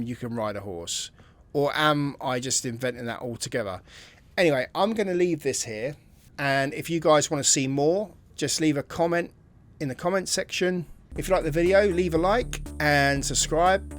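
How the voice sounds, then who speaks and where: A man's voice: on the high side, moderate pace. A man hosting a gameplay video, thinking aloud in informal, casual commentary.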